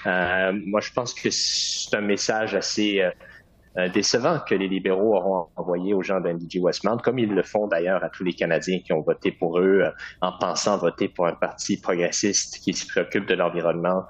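A man's voice: sits low, slightly rough, muffled recording. A man from Quebec with a Québécois French accent, answering interview questions as a political candidate in an earnest way, with many hesitations.

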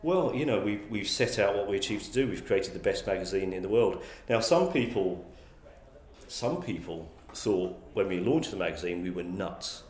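Man with a lowish voice, roomy sound. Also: expressive, normal speed. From England. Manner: thoughtful, frequent restarts and repeated words. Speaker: guest, magazine publisher. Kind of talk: conversational interview answers.

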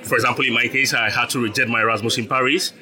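A man's voice: mid-range voice, fairly even intonation, racing, bright, forceful tone. A young man from Ghana, a startup founder, explaining his business as an interview guest, patient, with frequent laughs and repeated words.

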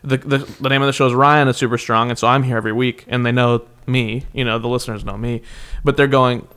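A man's voice: medium pitch, fairly even intonation, fast, a bit bright, clear, resonant tone. A man hosting his own podcast from home, upbeat and rambling, laughing often as he chats with a guest. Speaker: podcast host. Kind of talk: casual interview chat.

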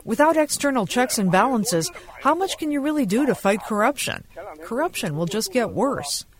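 A woman's voice: fairly high pitch, big pitch swings, moderate pace. A woman correspondent narrating a scripted radio news report. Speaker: foreign correspondent.

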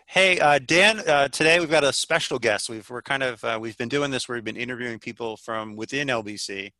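A man hosting an informal interview podcast, speaking in a halting way as if thinking aloud. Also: mid-range voice, sharp tone, no room sound, low-quality recording. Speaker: podcast host interviewing guests.

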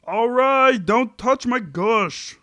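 A man's voice: very high-pitched, slow, fairly bright tone, no echo, exaggerated voice. A man playing a petulant, self-justifying husband in an amateur sitcom table read. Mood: petulant, flippant.

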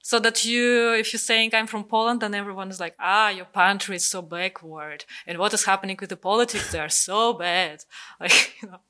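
Bright-voiced woman. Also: medium pitch, moderate pace. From Russia. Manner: animated, full of "like, you know" fillers. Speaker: discussion participant. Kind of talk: casual discussion.

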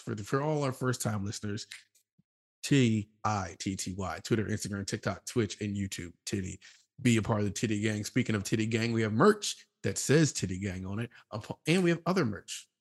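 A man hosting a podcast in casual chat, laid-back and quick to laugh, running through the show's plugs. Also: lowish voice, lively intonation. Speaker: podcast host.

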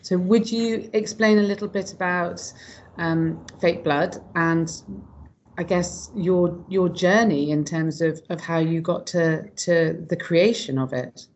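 A woman's voice: fairly low pitch, fairly even intonation, slow pace, darkish tone. A woman hosting a podcast, effusive and rambling, with many ums and repeated words. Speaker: podcast host. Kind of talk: podcast interview.

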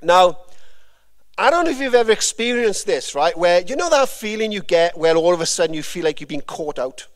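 A man's voice: high-pitched, lively intonation, fairly quick. A Welsh man giving a conversational sermon with a personal testimony, rambling and informal with frequent fillers and restarts. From Wales. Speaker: preacher.

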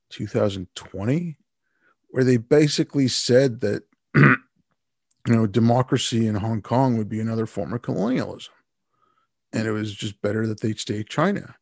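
A man hosting an interview, casual and animated, with plenty of fillers. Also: mid-range voice, gravelly, breathy edge, no room sound, clean studio sound. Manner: animated, loose with fillers like "like" and "you know". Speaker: host. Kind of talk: conversational interview talk.

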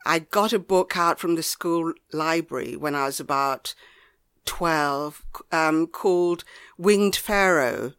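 A woman's voice: deep voice, slow pace, fairly bright tone, clean tone, no echo. An older woman from Devon, born in Ghana, reminiscing casually as an author interviewed in a small studio. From Devon, England, born in Ghana. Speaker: author, podcast guest. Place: small homemade recording studio.

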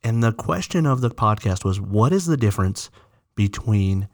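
A man's voice: on the low side, expressive, normal speed. A man co-hosting a casual podcast, friendly and explanatory, laughing easily. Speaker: podcast co-host.

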